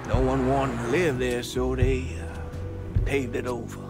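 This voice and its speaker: Loud guitar music plays under a man's slow, mid-pitched voice acted for a game. He is a solemn, reflective storyteller who sounds sorrowful as he looks back, pausing and measuring each phrase. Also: level tone, natural voice. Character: reflective, sorrowful male narrator recalling hard times.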